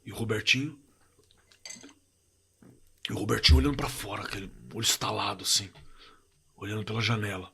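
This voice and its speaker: Man acting a sharp-voiced, shaken Brazilian horror witness. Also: on the low side, creaky, breathy edge, full character voice. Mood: uneasy, remembering. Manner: halting, repetitive, colloquial, trailing off. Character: shaken working-class man recalling a frightening camping night. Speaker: eyewitness telling his own horror story. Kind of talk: informal spoken testimony in an audio drama.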